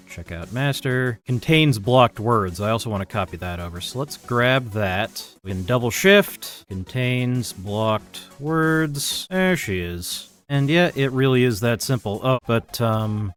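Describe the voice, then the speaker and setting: A man talks through his programming on a live stream in an informal, sweary way, half to himself. His pitch sits in the middle for a man. Faint chiptune keygen music plays behind him. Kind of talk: casual live coding commentary. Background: chiptune-style keygen music.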